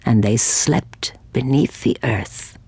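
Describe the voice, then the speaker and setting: Husky, slow old seer woman. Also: a bass voice, darkish tone, no echo, in a whisper, heavily acted. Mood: solemn storytelling. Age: older. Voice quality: breathy, husky, aged. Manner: slow, grave, portentous. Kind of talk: dramatic fantasy game voice acting.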